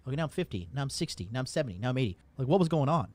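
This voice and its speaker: A man's voice: medium pitch, very animated, very fast, a little mellow. A man giving casual commentary in a blunt, profane, lecturing way.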